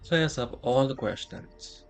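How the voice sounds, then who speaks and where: Guy streaming a game, gravelly voice, kinda muttering casual comments, bit of music behind. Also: medium pitch, expressive, slow pace.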